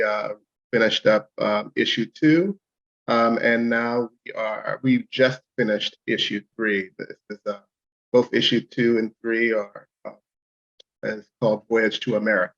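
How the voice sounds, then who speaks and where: A man from Miami with a gravelly, creaky voice introduces himself haltingly, with plenty of "uh"s. The audio is muffled and lo-fi, like a video call. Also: mid-range voice, a bit bright. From Miami, Florida. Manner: halting, many "uh" and "um" fillers. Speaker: comic co-creator on a panel. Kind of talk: casual panel self-introduction.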